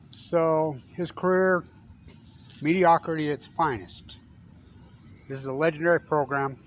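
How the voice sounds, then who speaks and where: An older man giving a rambling, blunt sports rant, laughing between remarks and punching out names loudly. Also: on the high side, slight background noise. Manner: blunt, rambling, laughs mid-sentence, emphatic shouted names. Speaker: self-styled sports commentator. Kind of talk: rambling opinionated monologue.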